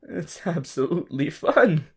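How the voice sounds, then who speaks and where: A voice actor playing a possessive, unhinged yandere lover in an ASMR roleplay, slow, intimate and breathy, swinging between tender and manic. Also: mid-range voice, very animated, heavily acted. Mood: gloating, smitten. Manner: slow, drawn-out, intimate, swelling from whispers to loud outbursts.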